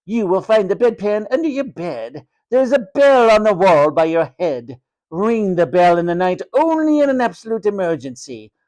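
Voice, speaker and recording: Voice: mid-range voice, very animated, moderate pace, darkish tone, voice pushed up, lightly acted, rough recording. A storyteller voicing a cruel, sneering hospital matron, a domineering woman who snaps and mocks. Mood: stern, snapping. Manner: snapping, mocking, sarcastic, domineering.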